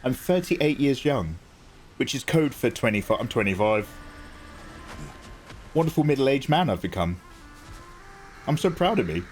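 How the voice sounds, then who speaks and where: A man's voice: medium pitch, very animated, unhurried, pauses often, soft background music. A man in his late thirties streaming a video game live, chatting casually with viewers and swearing freely. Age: 30s. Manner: chatty, frequent swearing, greets chat by name. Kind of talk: casual live-stream chat while gaming.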